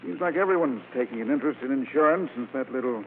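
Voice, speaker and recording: A man's voice: medium pitch, lively intonation, fast talker, lightly acted. An actor playing a polite, fussy, formal insurance man in an old-time radio drama. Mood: politely fussy. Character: courteous, arthritic male insurance man behind a desk. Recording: tinny, noisy old radio recording.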